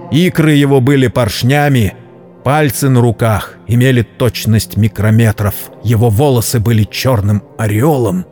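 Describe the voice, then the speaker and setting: A man narrating a scripted story dramatically, in a solemn, measured literary manner. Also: medium pitch, a little mellow. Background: background music.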